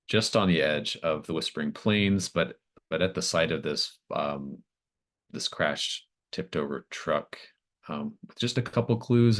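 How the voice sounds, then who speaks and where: A man's voice: rumbling low, fairly bright tone. A man casually talking his players through a session as game master, feeling his way through phrases as he goes. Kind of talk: casual wrap-up talk with players.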